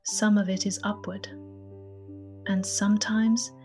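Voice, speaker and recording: A woman narrating a bedtime story in a gentle, soothing, partly whispered voice. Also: mid-range voice, flat delivery, unhurried, faint music behind.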